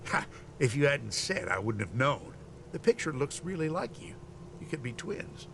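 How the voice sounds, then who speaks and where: An actor playing an officious, dutiful city gate guard in a video game, formal and earnest as if reciting rules. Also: male voice, medium pitch, very animated, normal speed, creaky, noisy background. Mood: earnest, credulous. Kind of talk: video game character dialogue.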